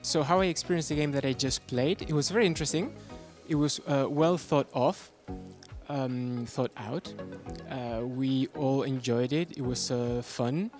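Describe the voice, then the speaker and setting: A man's voice: medium pitch, measured pace, a little mellow. A man who played a game, reflecting on it in an interview while searching for words. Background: background music.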